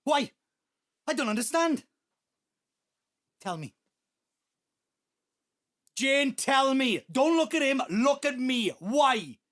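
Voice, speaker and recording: An angry adult son in an audio drama, high in pitch for a man. He is confrontational and insistent, repeating his demands as he accuses his family. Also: very animated, slow pace, pauses often, fairly bright tone, exaggerated voice. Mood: furious, demanding. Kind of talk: emotional audio drama dialogue.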